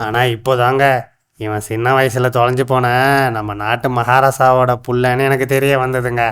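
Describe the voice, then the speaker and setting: A man playing a humble village hunter and adoptive father in an animated folktale, deferential, with a rural Tamil accent. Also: medium pitch, normal speed, clear, resonant tone, close to an everyday voice. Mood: humble, earnest. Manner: deferential, colloquial village speech. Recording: music under the voice.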